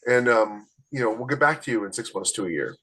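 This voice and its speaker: A man's voice: fairly low pitch, lively intonation, fast talker, fairly bright tone, slightly rough, low-quality recording. A man co-hosting a podcast in casual conversation, talking emphatically and repeating points for stress. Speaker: podcast co-host, indie author. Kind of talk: casual chat about publishing.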